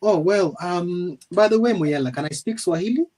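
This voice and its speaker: A man answering as an interview guest, polite and measured, hesitating between phrases. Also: high-pitched, lively intonation, warm tone, slight background noise. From Western Province, Kenya. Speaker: guest, engineer and ex-serviceman.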